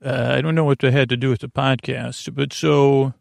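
A man with a creaky voice hosting a sleep podcast, meandering and halting as he restarts his phrases. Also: mid-range voice, fairly even intonation, dry recording, studio quality. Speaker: podcast host. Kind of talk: rambling sleep podcast monologue.

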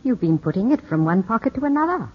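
An actress playing a gracious, gently teasing widow in a 1950s radio drama, speaking in a composed, courteous way. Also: female voice, fairly high pitch, big pitch swings, fast, husky. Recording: muffled 1950s radio recording.

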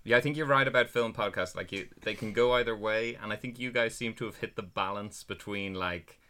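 A man's voice: on the low side, brisk, bright, forceful tone, a little hiss or hum. A man hosting a podcast, chatting in a playful, teasing way. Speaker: podcast host. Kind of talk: podcast chat.

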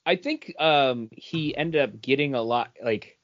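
A man talking informally as a co-host in a relaxed podcast discussion. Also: mid-range voice, unhurried, highs cut off. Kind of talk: casual panel chat.

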